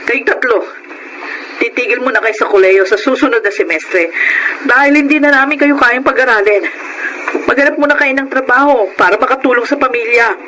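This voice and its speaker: A woman's voice: fairly high pitch, a little fast, pushed and bright, slight background noise, poor audio. An actress playing an exasperated middle-aged mother in a Filipino radio drama, scolding and fretting with emphatic delivery. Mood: worried, grave. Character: exasperated middle-aged mother worrying over squandered lottery fortune.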